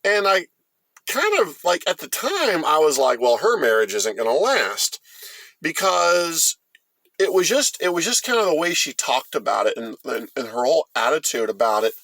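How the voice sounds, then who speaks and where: A middle-aged man with an American accent, telling a story casually in a halting, rambling, folksy way. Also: on the high side, very animated, a bit bright, dry recording, poor audio. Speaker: host of a storytime vlog.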